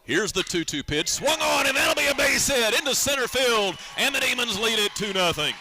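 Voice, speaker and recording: A man calling baseball play-by-play on the radio in a live sports commentary style, with measured, deliberate phrasing. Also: extremely high pitch, very animated, over music.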